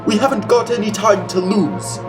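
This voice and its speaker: A man's voice: sits high, moderate pace, music in the background, pitched above the performer's own voice, lightly acted. A man playing a fussy, self-important villainous master of a mansion in a theatrical, exclamatory old-time radio mystery comedy. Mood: anxious scheming.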